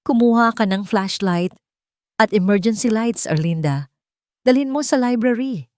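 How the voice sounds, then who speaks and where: A woman's voice: a little high, unhurried, a little mellow, no room sound, pitched above the performer's own voice, natural voice. A woman voicing a firm, commanding older housekeeper in an audio love story. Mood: commanding, urgent. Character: stern older housekeeper woman giving orders.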